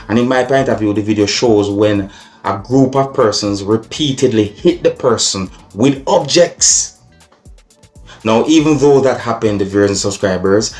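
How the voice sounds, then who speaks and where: A Jamaican man with a fairly low voice talks to his viewers as a video blogger. He is deliberate and repetitive, mixing formal phrasing with patois, with faint music behind him. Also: darkish tone. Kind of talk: vlog commentary addressed to viewers.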